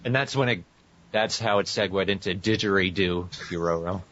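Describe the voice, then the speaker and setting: A man's voice: deep voice, moderate pace, fairly bright tone, a little hiss or hum. A man co-hosting a podcast, talking casually with friends. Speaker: podcast co-host. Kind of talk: casual group chat. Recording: muffled lo-fi audio.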